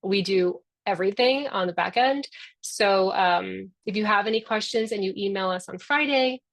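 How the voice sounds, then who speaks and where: A woman's voice: medium pitch, fairly bright tone, no echo. A middle-aged woman whose first language is Spanish talks members through a live orientation over a video call. She is chatty, upbeat and affectionate, in a mid-range voice. The sound is dry and close. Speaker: founder hosting a member orientation. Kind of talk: live online orientation talk.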